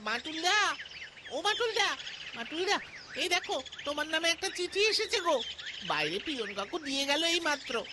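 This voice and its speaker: A woman's voice: very high-pitched, sing-song, normal speed, noisy background. A woman voicing a curious little boy in a children's cartoon, eagerly asking questions, with music behind. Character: curious, excitable little boy, admiring younger sidekick. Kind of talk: Bengali children's cartoon dubbing.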